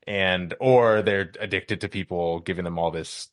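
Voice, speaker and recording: Low-pitched man in halting casual podcast chat. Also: no echo, clean studio sound. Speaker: podcast co-host.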